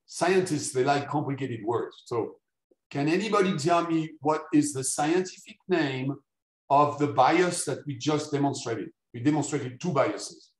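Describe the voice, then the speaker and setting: A man's voice: medium pitch, a little slow, echoey room, poor audio. A man whose first language is French, giving an interactive lecture to students and prompting them with questions. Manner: energetic, engages audience with questions and prompts. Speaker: guest lecturer.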